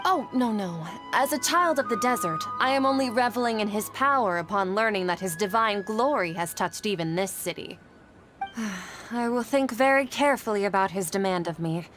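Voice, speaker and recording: A woman's voice: medium pitch, animated, moderate pace, fairly bright tone, close to an everyday voice. A woman acting an earnest, polite and devout young desert woman in a video game. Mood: shaken, reverent. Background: game music.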